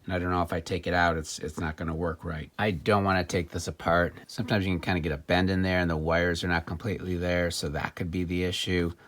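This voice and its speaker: A man's voice: low-pitched, flat delivery, fast, hardly any pauses, fairly bright tone. A man talking casually through a hands-on gadget demonstration, musing and thinking aloud. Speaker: vlogger demonstrating and repairing vintage electronics.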